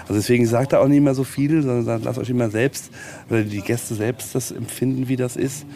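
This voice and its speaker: A man's voice: medium pitch, fairly even intonation, brisk, vocal fry, dark tone, slight background noise. A man being interviewed casually, talking reflectively and often searching for his words.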